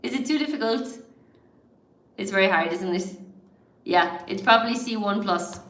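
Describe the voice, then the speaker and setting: A woman's voice: medium pitch, expressive, normal speed, fairly bright tone. An Irish woman, an English teacher, hosting a live word game for her viewers, chatty and encouraging, laughing often and greeting people by name. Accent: mild Irish, largely neutral. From Ireland. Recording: echoey room.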